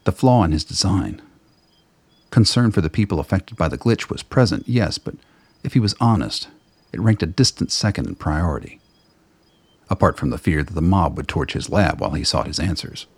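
A man reading scripted dramatic narration for a radio play in a measured, literary storytelling manner, with a gravelly, husky voice. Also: deep voice, lively intonation, no room sound.

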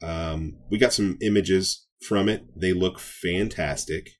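A man's voice: low voice, slow pace. A man co-hosting a podcast, talking casually and enthusiastically about nerd news with playful joking. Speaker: podcast co-host.

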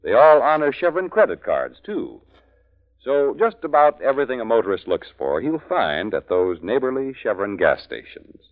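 A man's voice: medium pitch, very animated, moderate pace. A man announcing on 1940s radio, reading sponsor copy and credits in a polished, folksy sales delivery. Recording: muffled old radio broadcast with music.

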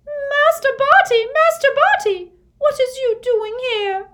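A very high, piercing little female house-elf. She is devoted and distraught, wailing and pleading in broken grammar with a squeaky, frantic shrillness. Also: expressive, takes it slowly, a bit bright, exaggerated voice. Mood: shrieking, horrified. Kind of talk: audiobook character voice.

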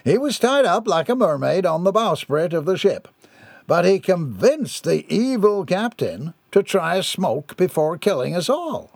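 A man voicing a commanding ship's captain who speaks in a grand, deliberate way, as if addressing a crowd. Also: sits high, very animated, gravelly, darkish tone, husky, no room sound, voice pushed up, exaggerated voice. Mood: dramatic.

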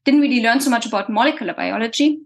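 A woman's voice: a little high, very fast. A woman, answering interview questions in a hesitant way with many fillers and restarts. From Germany. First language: German. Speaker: guest scientist.

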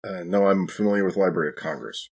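A man's voice: deep voice, fast, creaky, darkish tone, husky. A man playing a tabletop role-playing game, casually narrating his character's actions.

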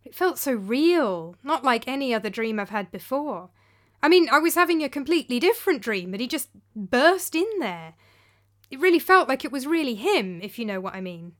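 A woman's voice: high voice, sing-song, normal speed, a bit bright, voice pushed up. Woman doing an anxious, urgent young man. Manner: urgent, pleading, earnest.

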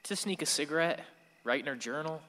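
An actor playing a gentle, earnest, watchful grandson in a radio play. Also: male voice, fairly high pitch, fairly quick. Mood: reassuring. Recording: long hall-like reverb.

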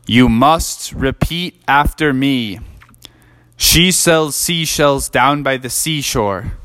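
A man's voice: mid-range voice, a bit bright, no room sound. An old knight of a bedtime tale, solemn and commanding, drawing out every word in a stately way, as a man puts him on. The voice sits a little above a plain man's speaking voice and sounds dry and close. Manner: slow, stately and drawn out. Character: solemn old male knight guarding a treasure.

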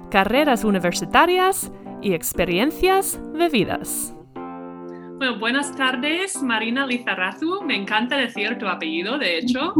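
Bilingual woman podcast host, higher voice. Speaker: podcast host, former basketball player. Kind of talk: scripted intro then casual interview.

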